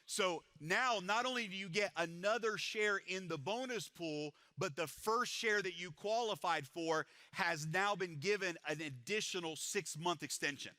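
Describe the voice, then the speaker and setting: A man presenting a compensation plan at a live event, energetic and repeating how powerful it is. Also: a little slow, few pauses, no room sound. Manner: energetic salesman pitch, repeats "it's powerful".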